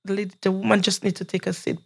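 A woman's voice: mid-range voice, level tone, very fast, gravelly, husky. A middle-aged woman speaking as a podcast guest, giving her opinion firmly and earnestly from her own experience. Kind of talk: casual panel chat.

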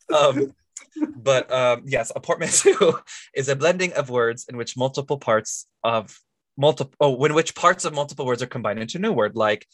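A man's voice: mid-range voice, very animated, normal speed, a bit bright, no echo, studio quality. A man co-hosting a podcast, explaining things in a casual, jokey, conversational way. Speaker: podcast co-host.

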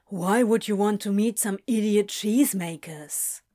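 A woman reads this part as a sardonic male demon with a curt, drawling delivery and a blunt, flirtatious edge. The voice sits at a middling pitch, dropped a little for the role, and the lines come out clipped and dry. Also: unhurried, close to an everyday voice. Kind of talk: audiobook reading of fan fiction.

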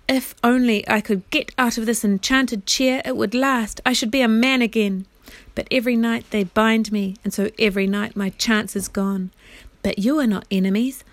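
A woman voicing an anguished bewitched knight in a storybook, pleading in courtly, archaic words with drawn-out, groaning delivery. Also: fairly high pitch, no echo, natural voice. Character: anguished bewitched knight, a desperate young man pleading in bonds.

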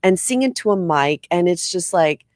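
A woman hosting a casual interview, upbeat and quick to chime in with short affirmations. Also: fairly low pitch, expressive, darkish tone, dry recording, clean studio sound. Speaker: host.

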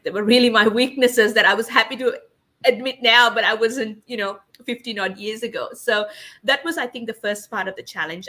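A woman in her thirties, originally from Sri Lanka, answers interview questions as a podcast guest. Her voice is bright and forward, with an earnest and reflective manner. She talks in long, flowing sentences. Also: mid-range voice. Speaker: podcast guest, publisher and speaker. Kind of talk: interview storytelling.

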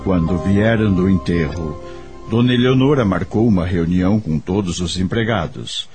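Narrator: man, Brazilian accent, low voice, scripted radio-drama storytelling over prominent music. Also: moderate pace. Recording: loud music under the voice. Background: loud music.

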